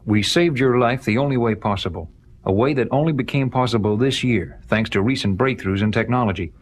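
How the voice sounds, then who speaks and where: An actor playing an authoritative government official in an audio drama, speaking formally and earnestly. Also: male voice, mid-range voice, brisk, slight background noise, natural voice. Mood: grave. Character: calm, authoritative government official, adult man.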